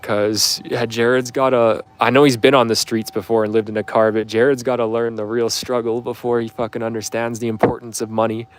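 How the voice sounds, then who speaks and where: A man's voice: medium pitch, little pitch movement, a little fast, few pauses, clean tone. A man in his twenties chatting casually on a livestream, answering viewer questions in a rambling, offhand way full of fillers. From Canada. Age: 20s. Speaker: livestream host.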